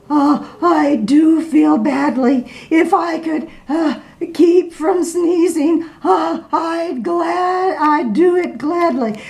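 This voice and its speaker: A woman voicing a big, timid male elephant who whimpers and squeaks when frightened. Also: exaggerated voice.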